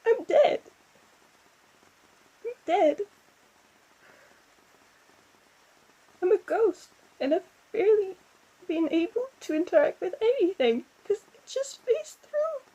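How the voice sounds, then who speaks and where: A woman playing a distraught, lonely young female ghost in an emotional audio roleplay, speaking haltingly with stammered repetitions. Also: very high-pitched, expressive, mellow, lo-fi sound. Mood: tearful outburst.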